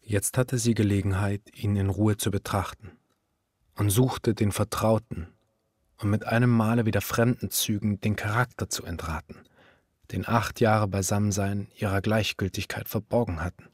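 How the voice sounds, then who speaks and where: An actor narrating a literary radio drama as a grave, measured male storyteller. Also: lowish voice, fairly even intonation, darkish tone, dry recording, studio quality, natural voice. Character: male storyteller recounting a woman's fear, grave.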